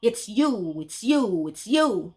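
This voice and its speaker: A woman's voice: mid-range voice, very animated, slow, warm tone. A woman voicing an icy, dismissive doctor. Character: cold, dismissive woman doctor, middle-aged.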